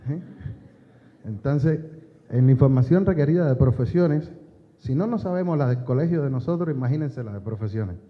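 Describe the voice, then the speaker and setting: A man's voice: medium pitch, lively intonation, moderate pace. A man from Cuba, president of a professional college, giving a conversational conference talk with frequent hesitations and wry asides. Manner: conversational, many filler "eh"s and restarts, wry asides. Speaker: president of a state optometry college, forum speaker. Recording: phone-like band, long hall reverb.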